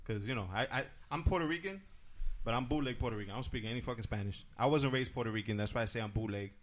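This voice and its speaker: A man's voice: mid-range voice, rapid-fire. A man co-hosting a podcast in casual, joking chat, swearing offhandedly.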